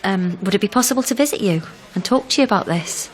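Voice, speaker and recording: A woman's voice: mid-range voice, lively intonation, quick pace, lightly acted. Actress doing a polite woman writer asking probing questions, natural radio drama style. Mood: brisk, polite.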